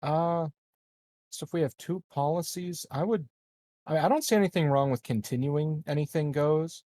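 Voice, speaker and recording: A man's voice: on the high side, normal speed, dark tone. A man playing a tabletop roleplaying game, chatting casually and reasoning out choices in a tentative way. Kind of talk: casual chat.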